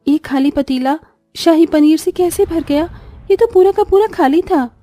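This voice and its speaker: A woman voicing a poor, good-hearted young daughter-in-law, earnest and expressive, in a cartoon story. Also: very high-pitched, normal speed, dry recording, faint music behind. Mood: amazed.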